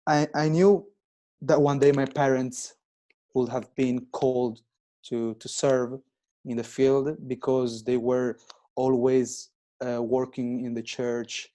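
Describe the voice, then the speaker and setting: A man in his twenties who grew up in Italy, speaking slow, halting non-native English as a guest with many pauses and restarts. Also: mid-range voice, little pitch movement, dark tone. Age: 20s. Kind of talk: casual interview chat.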